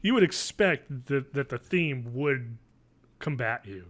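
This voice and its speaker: A man's voice: mid-range voice, lively intonation, takes it slowly, fairly bright tone. A young man co-hosting a podcast in casual banter, with slangy, sweary talk. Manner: profane, slangy. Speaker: podcast co-host. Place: living space at home, indoors. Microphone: desk microphone, on video call.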